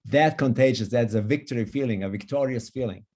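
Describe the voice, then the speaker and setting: Enthusiastic German-accented man, mellow voice. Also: mid-range voice, a little fast. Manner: enthusiastic, emphatic. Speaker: longevity coach. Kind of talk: online panel discussion. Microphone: video call.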